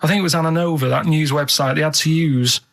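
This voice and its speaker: A man's voice: a little high, steady tone, fast talker, fairly bright tone. A man telling rambling anecdotes on the radio, earnest, matter-of-fact and hesitant. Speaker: radio show regular. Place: radio studio.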